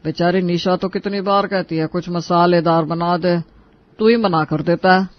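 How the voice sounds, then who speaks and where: A man's voice: sits high, fairly even intonation, normal speed, dull audio, lightly acted. A man voicing a henpecked, complaining elder brother in a Hindi cartoon, talking in a familiar, plaintive way. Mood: probing. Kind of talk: dramatic Hindi cartoon dialogue.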